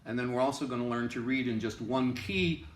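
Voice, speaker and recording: A man's voice: mid-range voice, a little fast. A man giving an informal music lesson as a teacher, explaining emphatically and repeating himself for stress. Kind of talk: informal instructional lecture. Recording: roomy, echoing room sound.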